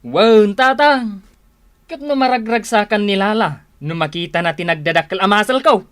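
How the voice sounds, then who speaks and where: A man's voice: high-pitched, normal speed, a bit bright, smooth. A man with an Ilocano accent playing a carefree, vain young freeloading husband in a radio drama. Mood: breezy, boastful. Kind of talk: Filipino radio drama acting.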